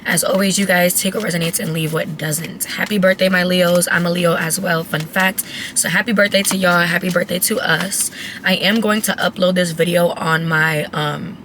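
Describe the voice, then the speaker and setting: A raspy, hoarse woman talks straight to her viewers through a tarot reading, chatty and blunt, with an easy habit of swearing. Her tone is bright and forward despite the strained voice. A faint hiss of noise sits behind her. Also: fairly low pitch, steady tone, normal speed. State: hoarse, voice cracking. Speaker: tarot reader. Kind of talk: casual tarot reading to camera.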